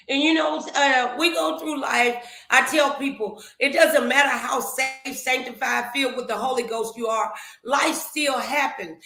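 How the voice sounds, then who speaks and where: A woman's voice: high-pitched, vocal fry, pushed and bright, reverberant, rough recording. A woman giving an emphatic, preachy faith-based motivational talk, repeating herself as she drives points home.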